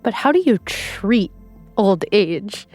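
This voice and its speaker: A woman's voice: mid-range voice, animated, slow, a little mellow, faint music behind. A woman co-hosting a podcast conversation, prompting with curious questions. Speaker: podcast producer and co-host.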